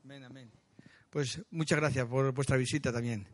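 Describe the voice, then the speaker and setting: A man's voice: medium pitch, fairly even intonation, moderate pace. A man with an accent from Spain leads a church meeting, informally welcoming visitors and making announcements in a conversational, hesitant way full of questions.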